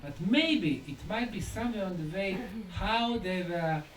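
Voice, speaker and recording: High-pitched man lecturing, echoey and distant. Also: expressive, fairly bright tone. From Bosnia. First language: Bosnian. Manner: short, deliberate phrases with dramatic pauses and rhetorical questions. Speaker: lecturer and researcher. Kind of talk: conference lecture. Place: indoor lecture room at a conference. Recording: echoey room, distant mic, faint noise.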